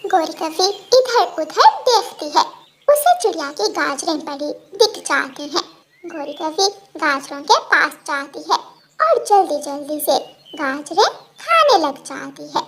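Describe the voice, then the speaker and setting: A woman's voice: sits high, big pitch swings, measured pace, fairly bright tone. A woman narrating a scripted children's story.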